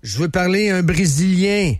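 Man, Quebec French accent, radio host, chatty and emphatic, slightly high voice, dry studio sound. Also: lively intonation, moderate pace, no room sound. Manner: chatty, emphatic, repeats himself. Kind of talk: casual radio talk.

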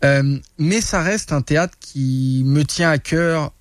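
A man from France, a guest telling war stories in a casual studio interview, animated and full of restarts. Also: on the high side, takes it slowly, dry recording. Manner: animated, many restarts and laughs. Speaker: guest, reporter and influencer. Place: radio studio.

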